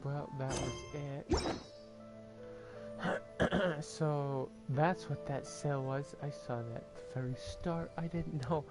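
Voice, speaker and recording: A man's voice: a little high, music in the background. A man casually commenting while playing a video game, thinking aloud as he goes. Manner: slow, musing, thinking aloud. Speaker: gamer. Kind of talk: casual let's-play commentary.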